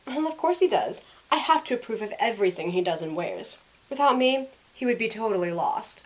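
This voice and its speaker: A woman's voice: on the high side, expressive, brisk, close to an everyday voice, low-quality recording. A young woman playing a sassy, loyal modern heroine, narrating in the first person in a wry, conversational way. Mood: fond, wry. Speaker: narrator and lead character. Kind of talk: first-person story narration with in-character dialogue.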